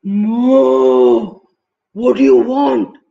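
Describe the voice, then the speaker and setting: A woman's voice: high voice, fairly even intonation, very slow, creaky, mellow, highs cut off, full character voice. A woman voicing a big, resigned buffalo in a children's story, speaking plainly and without hurry. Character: huge, resigned, respectful male buffalo.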